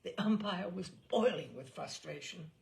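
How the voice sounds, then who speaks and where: A woman's voice: fairly low pitch, lively intonation, unhurried, creaky, a little mellow. A woman reading literary stories aloud as a storyteller, in a dramatised narration style. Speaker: storyteller, reader of literary selections.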